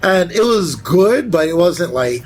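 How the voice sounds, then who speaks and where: A man chatting casually as a panelist and video game fan, animated and repeating phrases for emphasis. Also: high voice, a little slow, slight background noise. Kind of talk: casual chat.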